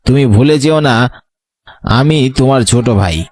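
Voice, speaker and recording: A man's voice: mid-range voice, normal speed, a little mellow, close to an everyday voice. A man playing an earnest young student, the wronged younger brother, in a horror audio story. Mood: defiant.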